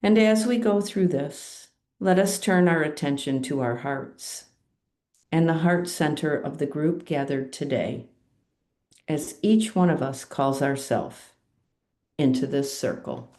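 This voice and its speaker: A woman hosting an online group meditation call, speaking in a calm, measured, gently instructive way. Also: low voice. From USA. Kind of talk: slow guided meditation introduction.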